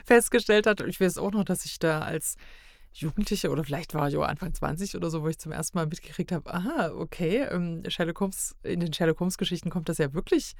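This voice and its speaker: A woman's voice: on the low side, fast, no room sound. Woman chatting in a very dry recording. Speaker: podcast guest. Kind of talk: casual discussion of audio dramas.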